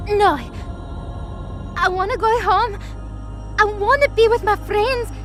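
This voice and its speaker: A woman voicing an earnest, stubborn, soft-hearted young girl in an audio drama, speaking with emotional insistence. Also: very high-pitched, slow pace, soft background music, voice pushed up. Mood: pleading.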